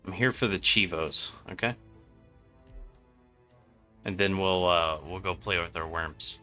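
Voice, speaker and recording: Male game streamer, very low bright voice, loose joking delivery, light music behind. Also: a little slow. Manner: laid-back, joking, profane. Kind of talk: casual live gaming commentary.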